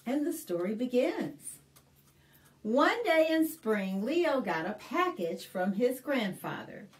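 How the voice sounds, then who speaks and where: A middle-aged American woman hosting a storytime, reading a picture book aloud to young children in an expressive, deliberate way with simple explanations. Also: a little high. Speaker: children's librarian and storytime host. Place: small indoor room, plain backdrop.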